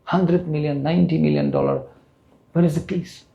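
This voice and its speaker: A man's voice: a little high, fairly even intonation, normal speed, vocal fry, highs cut off. A man in his sixties from Russia, speaking English with a Russian accent, giving an earnest spiritual lecture to a temple audience. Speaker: spiritual teacher.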